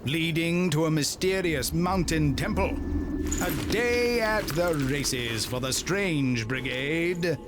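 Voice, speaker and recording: An actor playing a jolly, theatrical British narrator of a 1930s-style adventure serial, with plummy delivery. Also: male voice, on the high side, animated, takes it slowly, noisy background. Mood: rousing, jaunty. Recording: loud music behind the voice.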